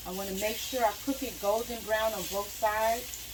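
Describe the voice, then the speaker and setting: A woman's voice: mid-range voice, fairly even intonation, normal speed, background noise. A woman in her home kitchen, chatting casually and talking herself through each step as she cooks. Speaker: cooking vlogger. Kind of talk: casual narration while cooking. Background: frying and sizzling in a pan.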